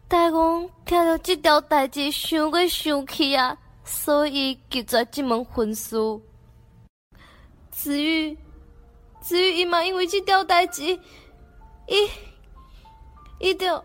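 Woman acting sad motherly figure, Taiwanese accent, high pitch, slow, halting, student radio drama. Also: fairly even intonation, a bit bright, soft background music, exaggerated voice. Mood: reluctant, sorrowful. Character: sorrowful, hesitant mother of a young scholar.

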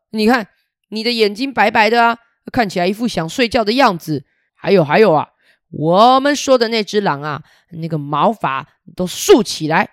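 A woman voicing a prim, fussy male elk teacher in a children's story, measured and lecturing. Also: mid-range voice, no room sound, studio quality, natural voice.

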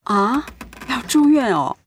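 An actress playing an ailing, selfless pastor's wife and mother in a radio drama, with a weak, breathy voice. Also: a little high, very animated, slow pace, slight background noise, full character voice. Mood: worried.

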